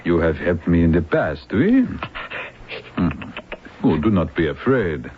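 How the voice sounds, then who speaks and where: A low-pitched, commanding police lieutenant with a French accent. He speaks in formal, deliberate phrases and presses persistently, in the manner of old radio drama. The recording is muffled and a little noisy. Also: very animated. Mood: coaxing, pressing. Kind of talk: vintage radio drama acting.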